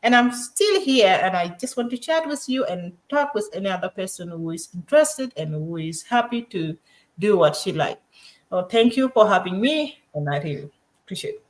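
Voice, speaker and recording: A woman's voice: mid-range voice, lively intonation, moderate pace. A woman nurse from South Sudan introducing herself with enthusiasm on a video call from home late at night.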